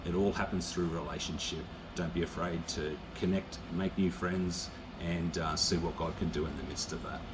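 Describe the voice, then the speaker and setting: A man with an Australian accent speaking unscripted as a visiting pastor, in a halting, plain, reassuring way to a church. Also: low-pitched, steady tone, noisy background. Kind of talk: unscripted encouragement to a church congregation.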